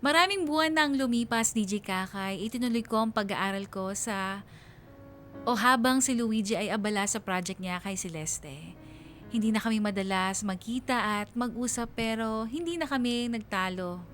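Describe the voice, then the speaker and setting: A woman's voice: fairly high pitch, moderate pace, a bit bright, soft background music, lightly acted. An actress playing a wistful young woman confiding her love story in first-person radio drama narration. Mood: wistful, reflective.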